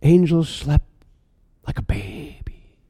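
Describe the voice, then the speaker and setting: A man's voice: a little high, animated, takes it slowly, mellow, husky, no room sound, clean studio sound, exaggerated voice. A man playing a compassionate male stranger who questions earnestly and with emphasis. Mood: probing.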